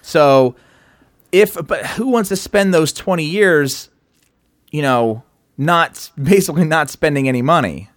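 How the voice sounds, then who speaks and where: A man's voice: unhurried, dry recording, studio quality. A man co-hosting a casual podcast, asking questions and pushing back with skeptical curiosity. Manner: questioning, skeptical, lively pitch swings. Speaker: podcast co-host.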